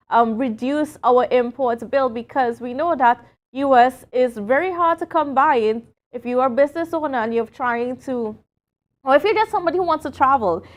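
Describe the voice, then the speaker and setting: High-voiced Tobagonian woman hosting a morning show. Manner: chatty, many fillers, laughs easily. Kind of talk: live talk show hosting.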